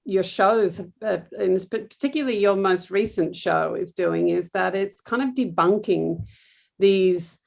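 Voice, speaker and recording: A woman's voice: mid-range voice, a little slow, vocal fry, darkish tone. A woman with an Australian accent, interviewing a guest in a rambling way with lots of hedges. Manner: rambling, hedging with "kind of" and "like". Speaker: interviewer, cookbook author. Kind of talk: conversational interview.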